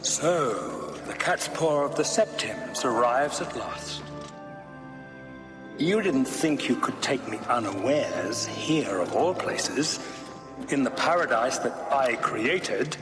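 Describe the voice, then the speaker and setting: An actor playing a zealous, grandiose cult-leader villain in a fantasy game, declaiming in a lofty, oratorical manner. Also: male voice, mid-range voice, expressive, takes it slowly. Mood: grandiose, taunting. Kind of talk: theatrical fantasy game monologue. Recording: echoey long reverb, music behind.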